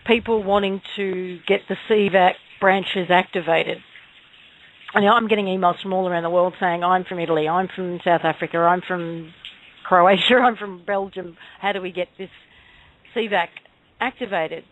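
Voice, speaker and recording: A woman's voice: on the low side, fairly even intonation, normal speed, a little hiss or hum, low-quality recording. A woman speaking as a guest on a talk show, earnestly explaining and answering questions. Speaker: radio show guest, activist.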